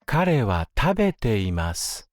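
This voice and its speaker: A man reading short language-course prompts in a clear, measured way. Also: fairly low pitch, sing-song, dry recording, studio quality, soft background music. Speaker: course audio narrator.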